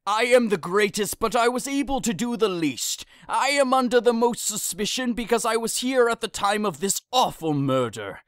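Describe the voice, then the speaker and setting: An anguished old holy man played by a male actor confesses in an audio tragedy. His voice sits high for a man, weighed with guilt. The sound is dry and free of room. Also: animated, normal speed, few pauses, dry recording. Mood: solemn, remorseful. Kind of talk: modernized Shakespeare audio drama acting.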